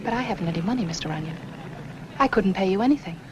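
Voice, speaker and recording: A woman playing an anxious nurse in a 1950s film noir. She talks in an earnest, defensive way at a medium pitch, over clear noise from an old film soundtrack. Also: expressive, racing, muffled recording, close to an everyday voice. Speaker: actress in a film.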